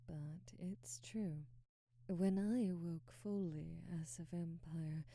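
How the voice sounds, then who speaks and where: A woman playing a proud, ambitious vampire aristocrat in an intimate ASMR roleplay, speaking softly and breathily with measured, formal diction. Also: sits low, dry recording, exaggerated voice. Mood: wistful, intense. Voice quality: soft, breathy, whispery. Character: proud, ambitious female vampire aristocrat, remorseful, domineering captor.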